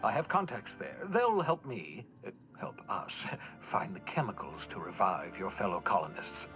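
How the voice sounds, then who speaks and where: An excitable, conspiratorial older male scientist from a sci-fi game. He talks theatrically with wry asides and small hesitations, his pitch leaping and dipping widely. Faint music plays underneath. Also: moderate pace. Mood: urgent, earnest. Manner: theatrical, wry, hesitating, swinging from chirpy to hushed. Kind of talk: scripted sci-fi game voice acting.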